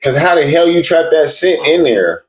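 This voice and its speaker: Joking dude with a lively voice, laughs a lot, echoey room. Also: male voice, a little high, normal speed. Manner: joking, laughs often, rambling. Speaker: podcast co-host. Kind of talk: casual group chat.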